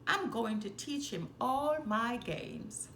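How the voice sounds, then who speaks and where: A woman's voice: a little high, animated, takes it slowly, fairly bright tone, echoey room, a little hiss or hum, heavily acted. A woman playing a young, playful, enthusiastic male baby kangaroo in a children's storybook, sounding eager. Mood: delighted.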